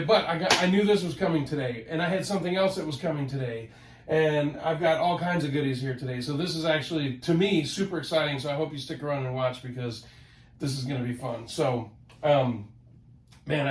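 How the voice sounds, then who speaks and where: A man with a head cold, sounding nasal, hosting a casual collector vlog from his home office in an excitable, rambling way that repeats words. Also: fairly high pitch, a little fast. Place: home office, ten-foot ceiling. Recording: roomy home office, mic at a distance.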